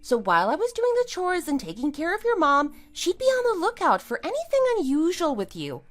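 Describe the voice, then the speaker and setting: A woman's voice: very high voice, wildly swooping pitch, a little fast, a bit bright, faint music behind, exaggerated voice. A woman playing a scornful, triumphant wife in an audio drama, with a sarcastic, emphatic delivery. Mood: firm, accusing. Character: wronged wife, scornful and triumphant, kicking out cheating husband. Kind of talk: amateur audio drama acting.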